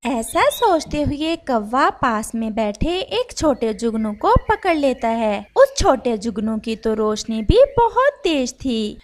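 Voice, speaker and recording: A woman's voice: on the high side, sing-song, slow pace, hardly any pauses. A woman narrator reading a scripted children's animal story.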